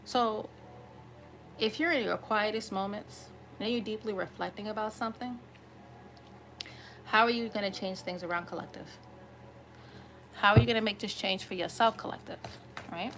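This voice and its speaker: A woman reads tarot for an online audience, speaking off the cuff at a middling pitch. Her voice has a slight rasp and she often checks in with her listeners. There is clear ambient noise behind her. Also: moderate pace, pauses often, a bit bright, poor audio. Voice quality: slightly raspy. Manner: conversational, often checking in with "right?". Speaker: tarot reader hosting an online collective reading. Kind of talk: improvised tarot card reading addressed to viewers.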